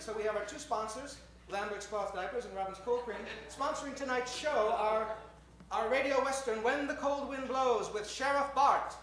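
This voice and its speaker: A man's voice: very high voice, pushed and bright, slight background noise. A man announcing a live improvised radio show on stage, courteous and mock-formal, in the manner of an old-time showman. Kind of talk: theatrical live emceeing to an audience. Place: stage in front of a live audience. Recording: echoey hall, distant microphone.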